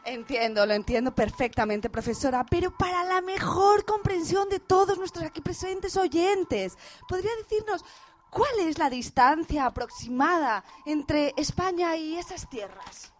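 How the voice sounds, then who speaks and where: A woman's voice: extremely high pitch, animated, a little slow, a little hiss or hum. A woman playing an earnest, formal female reporter in a parody radio drama. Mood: earnest, probing. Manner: formal, announcer-like.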